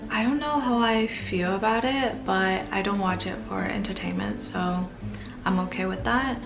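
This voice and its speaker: Soft-spoken woman in her twenties, gravelly. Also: mid-range voice, very animated, normal speed, over music. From Canada. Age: 20s. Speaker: language learning vlogger. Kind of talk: scripted voice-over narration.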